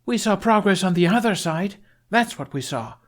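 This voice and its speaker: A man voicing an angry young Viking warrior woman in an audiobook, forceful and accusing. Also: high-pitched, expressive, moderate pace, voice pushed up. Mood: furious, challenging. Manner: indignant, forceful, shouting accusations.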